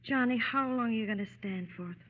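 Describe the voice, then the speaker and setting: A woman's voice: fairly high pitch, fast talker, lightly acted. An actress playing a protective, indignant young wife and mother in a vintage radio drama. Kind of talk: 1930s-40s radio drama acting. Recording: muffled old radio recording.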